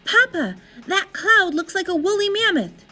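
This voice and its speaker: Storybook role: eager, imaginative cave child, played by a woman, very high and animated, pleading and exclaiming, faint music behind. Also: moderate pace, heavily acted. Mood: eager, excited. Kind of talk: storybook character read aloud.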